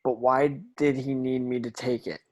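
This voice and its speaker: A man's voice: medium pitch, steady tone, moderate pace, darkish tone, close to an everyday voice. A man playing a defiant teenage boy wizard in an amateur table read, casual and cocky. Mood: wary, angry.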